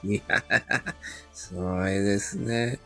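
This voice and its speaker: A man's voice: fairly low pitch, slow pace. A man chatting casually as a guest, polite with folksy turns of phrase, with music behind him. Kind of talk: casual chat.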